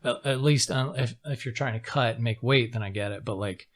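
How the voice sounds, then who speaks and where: A man's voice: mid-range voice, fairly quick. A man in his thirties, a podcast guest, chatting loosely and joking around, with casual swearing. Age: 30s. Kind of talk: casual chat.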